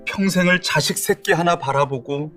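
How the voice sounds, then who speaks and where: A man's voice: a little high, moderate pace, a bit bright, soft background music, natural voice. A man voicing an exasperated husband in an audio drama, pleading and accusing. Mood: angry. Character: exasperated husband devoted to his mother.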